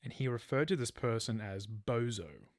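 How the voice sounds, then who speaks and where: A man's voice: mid-range voice, lively intonation, moderate pace, no echo, clean studio sound. A man telling a true crime story as a podcast host, partly from a script. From Australia.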